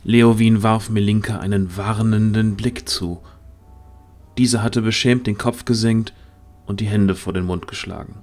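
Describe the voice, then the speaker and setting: A man's voice: fairly low pitch, fairly even intonation, a little slow, darkish tone, faint music behind. A man reading scripted narration for a fantasy audio drama.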